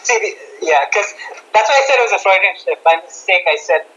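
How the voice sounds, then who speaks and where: A man's voice: sits high, animated, a little fast, a bit bright, muffled recording. A man from India, hosting a casual podcast chat and poking fun at himself. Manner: talkative, self-mocking, many restarts. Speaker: podcast host.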